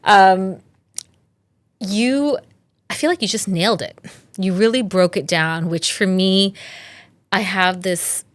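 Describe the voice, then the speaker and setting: A woman's voice: mid-range voice, takes it slowly, pauses often, no echo. A woman in her forties, pregnant, hosting a podcast interview in an enthusiastic, conversational manner and laughing easily. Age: 40s. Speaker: podcast host.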